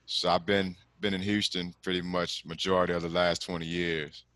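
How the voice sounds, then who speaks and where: A man's voice: deep voice, little pitch movement, normal speed, fairly bright tone, smooth, dry recording. A middle-aged man from Texas, a commercial lender speaking as a guest on a video call, talking in a loose, rambling way and dropping "man" and "you know" often. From Galveston, Texas. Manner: laid-back, rambling, frequent "man" and "you know what I'm saying". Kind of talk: casual interview over video call.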